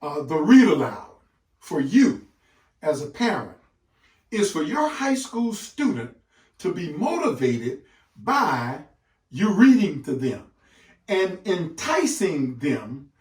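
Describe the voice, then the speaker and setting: Echoey, emphatic man with a high-pitched voice. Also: big pitch swings, darkish tone. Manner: emphatic, deliberate phrasing with dramatic pauses. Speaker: literacy educator hosting a read-aloud session for parents. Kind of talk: instructional presentation, speech-like address to parents. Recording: echoey room, distant microphone.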